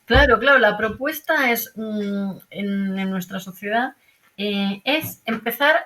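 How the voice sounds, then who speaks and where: Bright-voiced Madrid woman, thinking aloud. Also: medium pitch, slow pace, rough recording. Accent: Madrid Spanish. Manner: thoughtful, many fillers and restarts, rhetorical tag questions. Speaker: archaeologist guest. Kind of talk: conversational interview. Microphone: video call.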